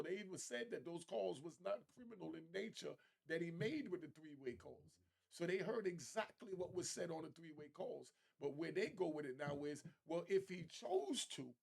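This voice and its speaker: A man's voice: fairly high pitch, gravelly. A middle-aged man giving casual, slangy commentary as a streetwise host who explains things conversationally.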